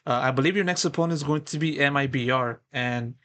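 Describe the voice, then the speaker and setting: A man's voice: medium pitch, quick pace, fairly bright tone. A man interviewing a pro player, asking long, wordy questions full of fillers.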